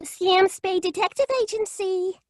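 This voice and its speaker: A woman's voice: very high-pitched, little pitch movement, measured pace, dry recording, studio quality. A woman playing a perky, devoted detective's secretary in 1940s radio style, exclamatory and puzzled.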